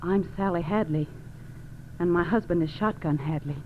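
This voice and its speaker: A scheming gangster's wife played by a woman pleads and confides as if helpless, in 1940s radio drama style. The recording is tinny and narrow, with a steady hiss. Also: on the low side, normal speed, close to an everyday voice. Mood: distressed, pleading.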